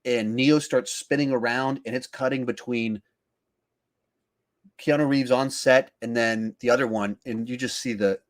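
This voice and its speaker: A man with a medium-pitched voice hosts a casual podcast. He talks through his points conversationally, as if in an informal discussion about films. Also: steady tone, measured pace. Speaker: podcast host.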